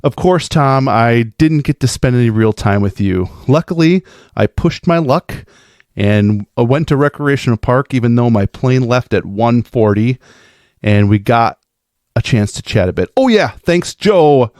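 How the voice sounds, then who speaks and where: A man's voice: mid-range voice, expressive, a little slow, a little mellow, dry recording, studio quality. A man speaking informally as a podcaster, playful and effusive, giving an enthusiastic, geeky monologue. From American Midwest. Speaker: podcast host sending in a festival recap.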